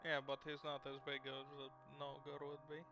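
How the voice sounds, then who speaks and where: A man's voice: a little high, completely flat delivery, moderate pace, over music. A man playing a tabletop game, indignant and swearing as he argues. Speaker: player. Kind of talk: casual game chat.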